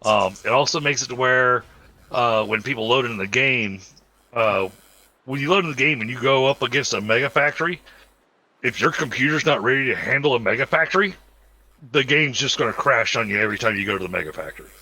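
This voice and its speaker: Bright Southern American man, easygoing. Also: mid-range voice, a little fast, no room sound, rough recording. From Southern United States. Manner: easygoing, rambling explanations with many fillers. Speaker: streamer hosting a multiplayer game. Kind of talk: casual game chat.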